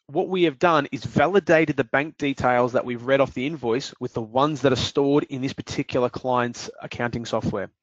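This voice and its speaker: A man demonstrating invoice software step by step in a screen-recorded walkthrough, explaining calmly with the odd "uh" and "um". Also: mid-range voice, dry recording. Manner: explanatory, steady, with fillers. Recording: dry, dull lo-fi voice-over.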